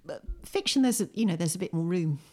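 A woman's voice: lowish voice, lively intonation, fast talker, a little mellow, slightly rough. A middle-aged British woman talking conversationally as an interview guest, reflective and wry, with self-deprecating humour. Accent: British English. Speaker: poet and short story writer, podcast guest. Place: at home, indoors.

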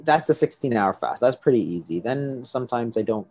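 A middle-aged male doctor explains in a husky voice whose pitch rises and falls freely. He often restarts his sentences and checks in with his listener. The sound is close and dry, with no room around it. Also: lively intonation, a little fast, darkish tone, no echo. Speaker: doctor treating patients. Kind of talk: interview guest explaining.

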